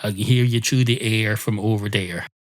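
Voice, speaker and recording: A man's voice: lowish voice, steady tone, moderate pace, close to an everyday voice. A man voicing a demanding, pedantic, foul-mouthed cat in an improvised comic story. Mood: exasperated. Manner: curt, formal yet sweary.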